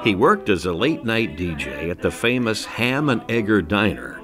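Deep, lively documentary narrator. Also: male voice, normal speed, over music. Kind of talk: scripted narration.

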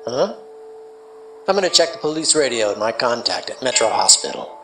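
A streetwise sidekick in a radio drama, speaking quickly and casually at a mid pitch. Faint music plays under him. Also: male voice, sing-song, a bit bright. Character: streetwise young male sidekick, jittery.

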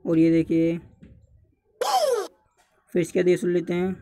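A man's voice: fairly high pitch, monotone, unhurried, dark tone, soft background music. A young man narrating a casual tutorial, repeatedly urging and addressing his viewers as friends. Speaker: tutorial YouTuber.

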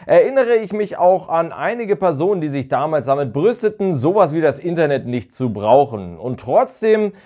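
A man's voice: fairly high pitch, very animated, moderate pace, few pauses, warm tone. A man in his thirties narrating a semi-scripted review as an online reviewer, recorded indoors on a lapel mic. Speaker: YouTube reviewer of audio dramas. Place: small furnished living room or den indoors.

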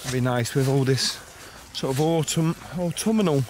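A man vlogging a fishing trip outdoors by a lake, chatting excitably and bantering with his brother. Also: mid-range voice, normal speed, slight background noise. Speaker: angler vlogger. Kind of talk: casual chat.

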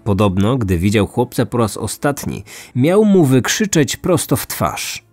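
A man's voice: medium pitch, sing-song, a little slow, darkish tone, no room sound, soft background music. A man narrating a scripted true-crime story as a podcast host.

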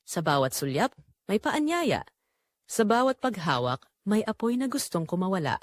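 A woman reading a scripted first-person dramatic story in a measured, expressive storytelling manner. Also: mid-range voice, no echo, studio quality. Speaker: narrator.

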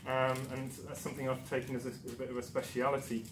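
A man presents to a conference audience in a darkened, echoey lecture room, picked up by a far camera. He talks in a casual, self-deprecating way, full of ums and restarts. His pitch is middling, and a steady noise sits behind him. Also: fast. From Newcastle, England. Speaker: conference speaker. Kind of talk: presentation talk.

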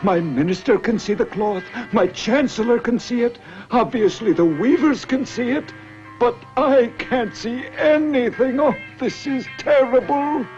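A vain and gullible fairy-tale emperor, fretful and theatrical. The voice sits very high and swoops around. The sound is slightly dull, with music behind. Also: male voice, a little slow, darkish tone. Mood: alarmed. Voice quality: high-pitched.

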